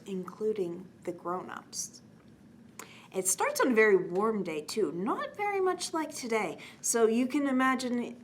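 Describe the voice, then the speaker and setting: A woman hosting a children's storytime, reading a bedtime story aloud in an expressive manner and talking gently to young listeners. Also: mid-range voice. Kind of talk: read-aloud bedtime story with chatty asides. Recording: clearly noisy background.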